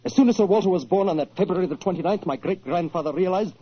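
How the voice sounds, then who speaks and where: An actor playing a flustered, guilty young family lawyer with a husky voice and defensive manner in a 1940s radio drama. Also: male voice, high voice. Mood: confessing. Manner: hurried, defensive. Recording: dull old 1940s radio recording.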